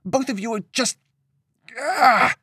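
A man voicing a hot-tempered, exasperated teenage girl who rants loudly and indignantly in a storybook reading. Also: very high-pitched, slow, husky, dry recording, studio quality, pitched above the performer's own voice, full character voice. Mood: exasperated fury. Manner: loud, indignant ranting.

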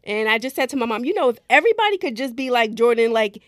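A woman co-hosting a podcast in casual chat, lively and talkative, laughing often. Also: fairly high pitch, fast talker, dry recording, studio quality. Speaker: podcast co-host.

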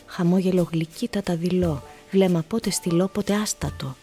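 A woman narrating a radio play as a literary storyteller, measured and descriptive. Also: on the low side, mellow, soft background music, natural voice.

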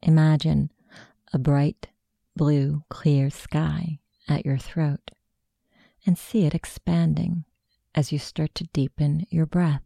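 A woman narrating a guided meditation, giving soft, deliberate, soothing instructions into a close microphone. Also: low-pitched, little pitch movement, gravelly, no room sound.

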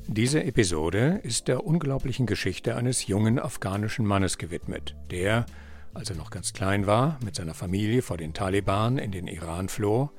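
A man narrates a podcast from a script in a measured, deliberate way. His voice sits fairly low. Guitar music plays clearly behind him.

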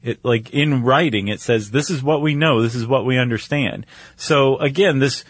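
A man's voice: mid-range voice, normal speed, no room sound. Dry-sounding man chatting casually. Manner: conversational, explains with rhetorical check-ins. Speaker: podcast co-host. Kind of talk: casual explanatory podcast chat.

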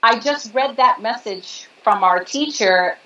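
A woman's voice: on the high side, unhurried, a bit bright. A woman from New York, a guest in an interview, explaining earnestly and at length. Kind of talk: conversational interview. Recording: slightly dull audio.

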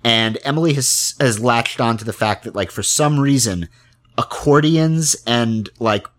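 A man hosting a casual interview, speaking in a halting, rambling way as he thinks aloud. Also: mid-range voice, a bit bright. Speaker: interviewer, podcast host.

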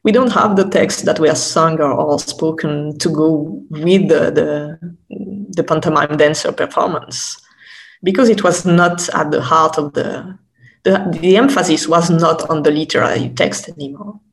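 Hesitant woman academic, gravelly creak. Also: low-pitched, poor audio. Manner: halting, repeats words while searching for phrasing. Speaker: academic expert guest. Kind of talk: explanatory interview conversation.

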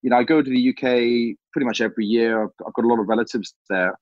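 A man's voice: mid-range voice, steady tone, fast talker, dry recording. A man in his thirties from Hong Kong, answering interview questions in a rambling way, full of "you know". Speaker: interview guest. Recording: dull lo-fi sound.

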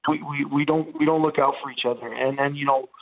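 A man's voice: a little high, fairly even intonation, a little fast. A man in his forties from Montreal, whose first language is Italian, chatting on the radio with lots of fillers. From Montreal, Canada. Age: 40s. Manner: chatty, rambling, many fillers. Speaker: radio guest, former football player. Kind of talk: casual radio chat. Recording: tinny phone line.

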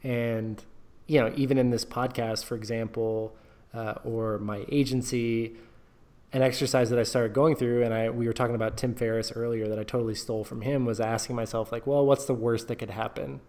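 A man's voice: medium pitch, flat delivery, fairly quick, darkish tone. A man hosting a podcast interview, chatting casually and encouragingly, with many fillers. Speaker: podcast host.

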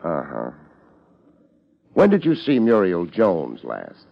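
An actor playing a laid-back, wisecracking private detective in a 1950s radio drama, with a wry, deadpan manner. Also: male voice, deep voice, slow pace, vocal fry, full character voice. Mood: cool, needling. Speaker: lead actor and narrator. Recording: muffled old radio recording with music.

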